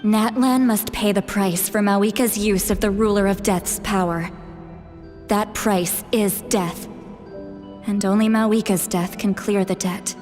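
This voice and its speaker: An actress playing a solemn woman who speaks gravely of fate in a video game, her voice in long reverb. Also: medium pitch, fairly even intonation, a little slow, darkish tone, faint music behind, close to an everyday voice. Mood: grave, serious.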